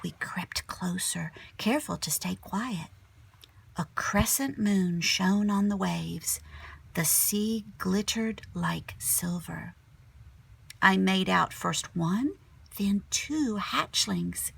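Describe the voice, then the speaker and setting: A woman teacher reading a children's picture book aloud expressively, sometimes dropping to a hushed tone. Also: medium pitch, slow pace, creaky, breathy edge. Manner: animated storyteller, drops to hushed whispery tones.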